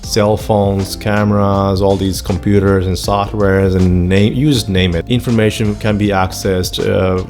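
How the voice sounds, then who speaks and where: Enthusiastic Finnish man over soft music. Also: deep voice, flat delivery, a little slow, few pauses. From Finland. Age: 30s. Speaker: channel host. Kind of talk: personal vlog monologue.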